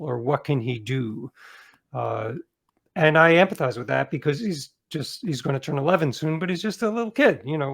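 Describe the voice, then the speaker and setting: A man's voice: mid-range voice, gravelly. A middle-aged man speaking as a guest author in a conversational interview, reflective and given to acting out bits of imagined dialogue.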